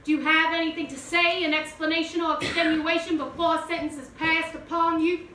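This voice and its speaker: A stern courtroom judge played by a woman makes formal, commanding pronouncements in stage-play style. The voice is very high and carries from a distance in an echoey theater. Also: fairly even intonation, fairly quick, sharp tone, slight background noise. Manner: formal, declamatory.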